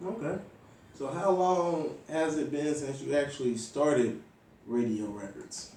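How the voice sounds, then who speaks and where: A man hosts a casual hip-hop talk, speaking in an informal, slangy way at a middling pitch. He sounds set back from the microphone, with the room echoing around his voice. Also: unhurried, warm tone, slight background noise. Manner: informal slangy, frequent "you know what I'm saying". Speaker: interview host. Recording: roomy echo, distant from the mic.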